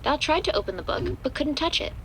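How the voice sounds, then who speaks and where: A woman's voice: sits high, very animated, fast talker, background noise. A woman reading scripted third-person narration for a horror audio drama.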